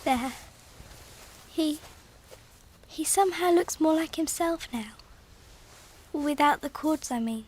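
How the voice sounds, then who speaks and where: A woman's voice: sits high, slow, pauses often. A woman playing an earnest, tender-hearted little girl in a radio drama, with a wide-eyed manner. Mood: tender, subdued. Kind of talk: radio theatre acting.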